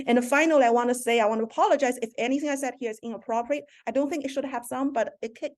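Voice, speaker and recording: Woman speaker, somewhat high voice, halting delivery with restarts, heard over a video call. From China. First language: Mandarin. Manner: halting, frequent restarts and self-corrections. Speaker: seminar speaker, professor. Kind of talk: virtual seminar talk.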